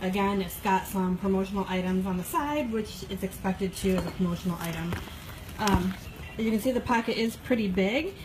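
A woman's voice: medium pitch, normal speed. A woman giving a casual, matter-of-fact product review in her kitchen, talking off camera into her phone with frequent fillers. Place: home kitchen, indoors. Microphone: phone camera held by the speaker, off-screen. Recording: phone video with audible background noise.

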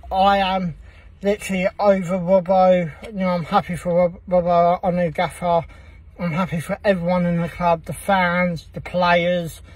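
Young football fan gushing in a car. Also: male voice, high voice, dry recording, recorded from a distance. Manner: gushing, rambling, many "um"s. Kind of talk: excited casual vlog chat. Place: inside a parked car. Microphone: phone held at arm's length.

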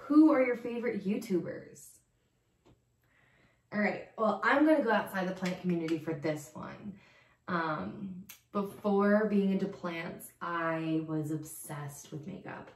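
A woman's voice: on the low side, measured pace. A young woman from New Jersey chatting in a giggly, upbeat way in a bedroom, filmed by a camera across the room. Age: young adult. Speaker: plant vlogger. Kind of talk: casual chat. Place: bedroom, seated on bed. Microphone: far camera. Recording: roomy echo.

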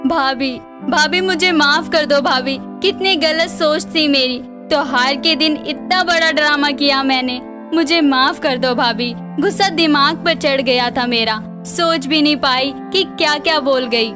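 A woman voicing a quick-tempered, dramatic family woman in a cartoon, with emphatic delivery. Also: sits high, over music. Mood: tearful.

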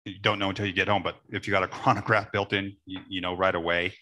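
A middle-aged man talks casually as a panelist at a table. His voice sits fairly low, with a bright, forceful edge that cuts through clearly. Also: little pitch movement, quick pace. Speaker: panelist, airgun shooter. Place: panel table with several people at an expo.

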